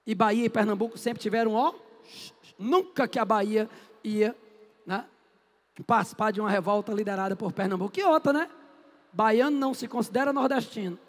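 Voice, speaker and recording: High-pitched guy teaching history, chatty and jokey, lots of room echo. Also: a little fast. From Northeast Brazil. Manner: chatty, jokey, asks and answers his own questions. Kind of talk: lively classroom lecture. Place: classroom. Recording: echoey classroom with long reverb.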